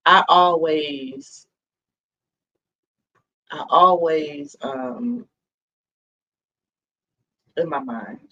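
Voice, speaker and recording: A man's voice: a little high, big pitch swings, extremely slow, vocal fry, long pauses, sharp tone, muffled recording, slight background noise. A man in his thirties hosting a podcast, talking in a reflective, earnest way and often checking in with "you know what I mean?". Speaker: podcast host. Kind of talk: casual chat.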